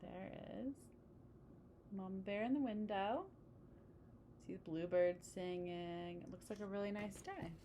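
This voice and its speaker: A woman's voice: medium pitch, unhurried, pauses often, mellow, slight background noise. A woman reading a children's picture book aloud, chatting to young listeners as she explains the pictures. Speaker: read-aloud storyteller. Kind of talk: picture-book read-aloud with casual asides.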